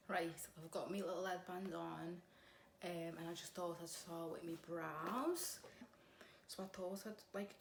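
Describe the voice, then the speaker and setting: A woman in her twenties with a Liverpool accent, chatting casually while doing her makeup for a get-ready-with-me vlog. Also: on the low side. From Liverpool, England. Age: 20s. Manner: chatty, rambling, lots of "like". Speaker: beauty vlogger. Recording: echoey room, faint background hum.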